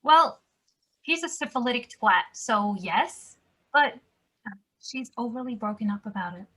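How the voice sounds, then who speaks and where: A woman's voice: on the high side, lively intonation, unhurried, a bit bright, slightly rough, exaggerated voice, rough recording. A woman playing a no-nonsense, wry mother in her mid-thirties in a drama table read. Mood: wry, matter-of-fact.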